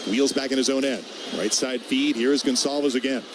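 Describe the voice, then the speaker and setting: A man calling live hockey on the radio in clipped, quick phrases as play moves. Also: mid-range voice, steady tone. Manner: clipped, rapid-fire phrases following the action. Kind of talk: live sports commentary. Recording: noisy radio broadcast from an arena.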